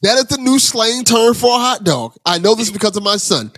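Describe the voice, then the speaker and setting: Lively higher-voiced male podcast host. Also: brisk, dry recording, studio quality, soft background music. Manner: bantering, affectionate with guest. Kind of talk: casual interview chat.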